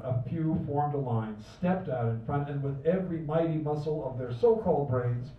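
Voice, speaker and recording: A man's voice: on the high side, slight background noise. An older man reading his poem at a cafe open mic, chanting slogans and dropping to near whispers. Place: small cafe at night, indoors. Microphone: stand mic from a far camera. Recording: roomy, distant, dull livestream audio.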